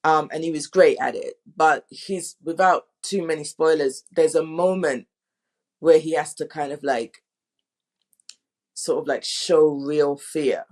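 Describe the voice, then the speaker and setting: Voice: mid-range voice, unhurried. Effusive interview guest chatting casually. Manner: effusive, informal. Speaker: audio drama production guest.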